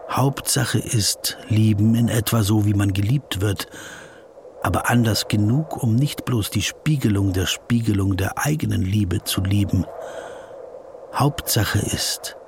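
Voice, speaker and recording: An actor in a radio play voicing a pensive, word-obsessed lawyer lost in reverie, speaking in halting, intimate, poetic musings. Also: male voice, on the low side, a little hiss or hum. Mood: rapt.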